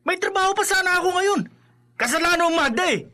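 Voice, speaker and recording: A man playing a hard-drinking construction worker and husband in a radio drama, whiny, defensive and quick to blame. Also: very high voice, animated. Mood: angry, blaming. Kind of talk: emotive radio drama acting.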